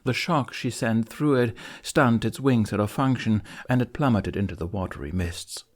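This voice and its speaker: Male audiobook narrator, mid-range pitch, dramatic scripted delivery for fantasy adventure. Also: moderate pace.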